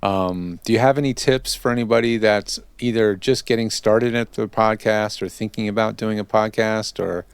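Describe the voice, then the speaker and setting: A man's voice: fairly low pitch, fairly even intonation, moderate pace, hardly any pauses, clean tone, dry recording. A man hosting a casual interview, chatting in an easygoing, joking way. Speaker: interview host and audio consultant.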